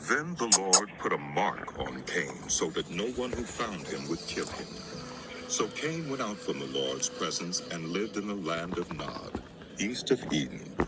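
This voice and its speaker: A male narrator reads scripture as solemn drama. His voice is low and moves expressively through the measured storytelling, with prominent music underneath. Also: very animated, poor audio.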